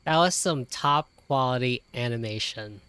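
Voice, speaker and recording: Low-voiced woman reacting to a game. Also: normal speed, a bit bright, clear, resonant tone, no echo. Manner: enthusiastic, slangy. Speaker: game streamer. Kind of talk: casual live-reaction commentary.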